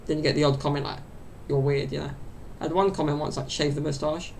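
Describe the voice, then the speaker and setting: A young man in his twenties talks on a solo podcast, meandering loosely and stuffing his talk with fillers. His voice sits fairly high with a gravelly, fry-edged texture. A faint low rumble hangs under the desk mic off to his side. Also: level tone, fast, a little mellow. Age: 20s. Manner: chatty and meandering, full of fillers. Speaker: podcast host. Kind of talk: rambling solo podcast chat. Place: small bedroom at home. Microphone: desk mic set off to the side.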